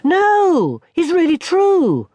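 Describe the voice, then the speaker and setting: A man's voice: very high voice, huge pitch swings, very slow, mellow, no room sound, voice pushed up, heavily acted. A man voicing an eager, earnest little boy in a children's audiobook. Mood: earnest, excited.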